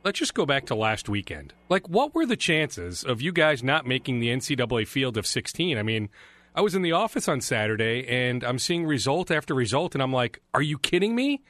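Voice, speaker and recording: A man's voice: medium pitch, big pitch swings, fairly quick, fairly bright tone, no room sound. A middle-aged man hosting a casual podcast, chatty and emphatic, his voice just back after being lost. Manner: chatty and emphatic, leaning on key words. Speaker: podcast host. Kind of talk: casual solo podcast talk.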